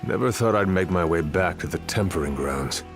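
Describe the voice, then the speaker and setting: A man's voice: low-pitched, expressive, moderate pace, soft background music. An actor playing a seasoned older master swordsman, a wry and knowing mentor, in a video game cutscene.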